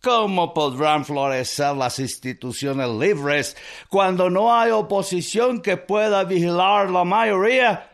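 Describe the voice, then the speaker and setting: Periodista norteamericano, pausado y formal. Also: male voice, high voice. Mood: respectful, probing. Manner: formal, respectful. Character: polite, probing North American male newspaper correspondent. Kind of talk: historical radio drama acting.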